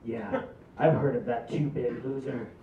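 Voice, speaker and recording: A brash failed magician heckles his rival, cocky and snide, read by a man at a table read. The voice is gravelly and bounces around in pitch. It sounds distant and echoey, as if through a cheap phone mic in a small room. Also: big pitch swings, measured pace, a crowd in the background. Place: small stage with green backdrop. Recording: roomy, distant, phone-like audio.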